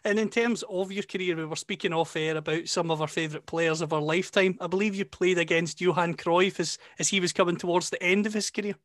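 A man's voice: high voice, fairly even intonation, quick pace, hardly any pauses, a bit bright, dry recording, clean studio sound. A man with a Scottish accent hosting a football interview and putting questions to his guest. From Scotland. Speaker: interviewer and host.

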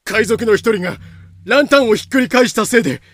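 Casting: frantic pleading shipboard servant voiced by a woman, very animated pitch, audio drama. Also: fast, dry recording, clean studio sound. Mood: panicked. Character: panicked servant crying for help aboard a pirate ship. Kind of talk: fan-made Japanese voice drama acting.

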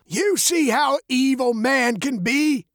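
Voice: high voice, slow, fairly bright tone, dry recording, clean studio sound, pitched above the performer's own voice. A storyteller voicing an eerie blind old man who speaks in ominous, chanting prophecy for a children's story. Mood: dark, foreboding.

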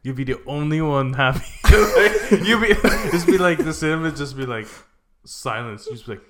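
A man's voice: a little high, lively intonation, moderate pace, a bit bright. A man co-hosting a podcast, chatting casually with short, joking interjections. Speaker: podcast co-host.

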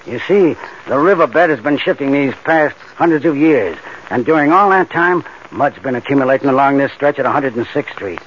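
A man's voice: mid-range voice, expressive, moderate pace, few pauses, fairly bright tone, close to an everyday voice. A man acting a confident, scheming tough crook who gives instructions. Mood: confident, persuading. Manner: assured, instructing, tough-guy slangy. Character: Marty, confident scheming bank-job mastermind, tough crook. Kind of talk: 1940s radio crime drama acting. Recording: muffled old-time radio recording, background hiss.

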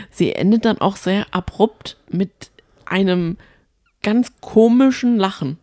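A woman co-hosting a podcast in casual chat, responsive and quick to laugh. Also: medium pitch, warm tone, dry recording, studio quality. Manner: chatty, responsive listener who laughs easily. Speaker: podcast co-host.

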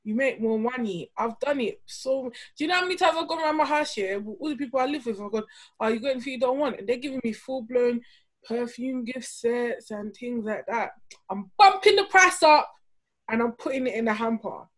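A woman's voice: on the high side, brisk. A woman co-hosting a casual podcast, teasing the others and laughing often.

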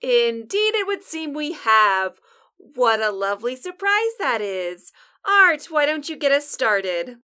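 A woman's voice: high-pitched, very animated, takes it slowly, pushed and bright, clear, resonant tone, full character voice. A woman playing a bossy, sarcastic apocalypse survivor who co-hosts a radio show with dry exasperation. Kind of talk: scripted comedic audio drama, bantering radio show.

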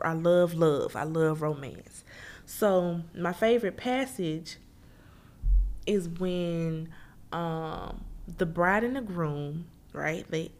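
A woman hosts a relaxed book review and speaks in a low, deep register. She is chatty and slangy. She addresses her listeners directly, as if they were friends. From Memphis, Tennessee.

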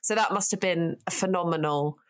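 Low-voiced woman chatting about music. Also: a little fast. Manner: thoughtful, laughs often, many ums. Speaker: author and guest. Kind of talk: casual podcast chat about music.

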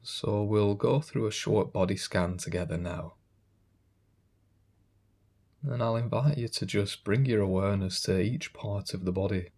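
A man leading a guided meditation as a calm, gentle guide, giving unhurried instructions. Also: on the low side, vocal fry, slightly rough.

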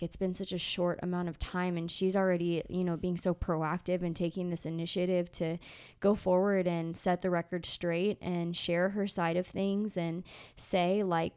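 A woman talks through a news story on a podcast, her voice nasal and congested. She pitches a little low, and the tone stays smooth and clear despite the stuffiness. She rambles casually with plenty of fillers. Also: a little mellow. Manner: chatty, rambling with many fillers. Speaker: podcast co-host. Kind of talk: casual chat.

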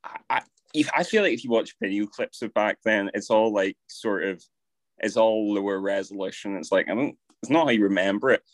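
A man's voice: fairly low pitch, brisk, dry recording. A young man co-hosting a podcast, talking things through with wry, teasing asides. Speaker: podcast co-host. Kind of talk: casual chat.

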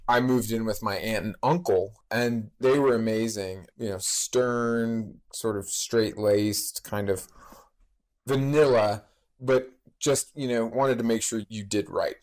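A man's voice: lowish voice, fairly even intonation, slow pace. Talkative man in his late thirties. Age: 30s. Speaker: podcast guest, bookstore co-owner. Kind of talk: casual interview chat.

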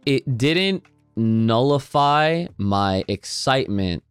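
Guy rambling on a podcast, pitch jumps around a lot, dry close mic, faint music behind. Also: lively intonation, slow pace, smooth, dry recording. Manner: rambling, lots of fillers and restarts. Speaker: podcast co-host. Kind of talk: casual chat.

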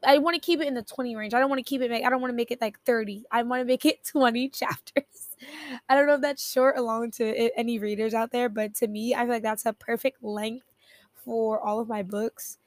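Young woman host, slightly high voice, raspy morning voice, rambly and giggly, dry close mic. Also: steady tone, brisk, dry recording. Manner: rambling, self-interrupting, giggly. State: raspy just-woken voice. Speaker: podcast host. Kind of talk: casual solo podcast chat.

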